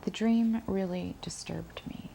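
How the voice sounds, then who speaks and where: A woman's voice: medium pitch, slow, gravelly, mellow, a little hiss or hum. A woman playing an anxious, guilt-ridden daughter who recalls family secrets in a hesitant, intimate dramatic monologue. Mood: uneasy, haunted.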